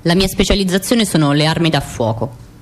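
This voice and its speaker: An actress playing a stern secret agent recounting missions in measured, declarative radio theatre narration. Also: female voice, low-pitched, expressive. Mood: factual.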